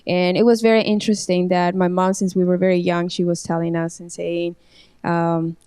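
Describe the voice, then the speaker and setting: A woman's voice: on the low side, level tone, normal speed, dark tone, smooth, no echo. A woman who grew up in Mexico, speaking English with a Mexican Spanish accent as a guest in a casual panel talk, hesitant with many ums and restarts.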